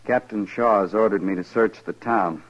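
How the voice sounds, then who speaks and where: An actor playing a dutiful, courteous cavalry lieutenant, formal and military, in a 1950s radio western. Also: male voice, on the low side, normal speed, close to an everyday voice. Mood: polite. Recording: dull lo-fi old radio broadcast.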